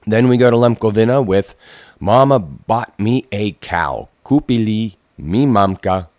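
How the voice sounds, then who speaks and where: A man hosting a community radio heritage program, his voice hoarse and froggy from a recent cold, announcing formally with light self-deprecating asides. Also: measured pace, a little mellow. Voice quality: froggy, low voice. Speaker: radio host.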